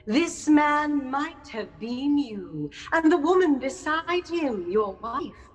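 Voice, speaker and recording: Very slow, solemn ghostly spirit. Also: female voice, high-pitched, expressive, faint music behind. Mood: stern, relentless. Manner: solemn, measured, portentous. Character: solemn ghostly spirit, stern and unhurried guide. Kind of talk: theatrical audio drama acting. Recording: long cavernous reverb, faint noise.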